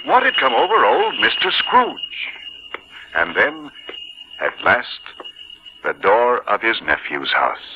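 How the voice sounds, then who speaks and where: A man acting as the storyteller in a 1950s radio drama, with measured, dramatic delivery. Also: mid-range voice, very animated, noisy background. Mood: solemn, dramatic. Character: solemn storyteller narrating a Christmas ghost story. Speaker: narrator. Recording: dull old radio broadcast, loud music.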